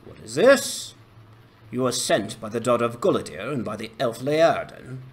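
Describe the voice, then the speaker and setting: A man voicing an ancient, noble elf lord in a fantasy game dramatization, speaking formally and solemnly in measured phrases. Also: medium pitch, expressive, close to an everyday voice. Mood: solemn counsel. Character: noble, grave male elf lord of great age. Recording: voice over music.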